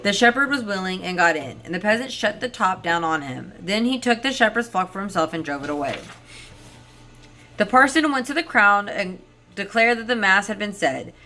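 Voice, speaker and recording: A woman storyteller who reads fairy tales and comments on them in an informal, rambling, expressive way. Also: medium pitch, normal speed. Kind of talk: storybook narration with casual chatty commentary. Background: soft ambient background music.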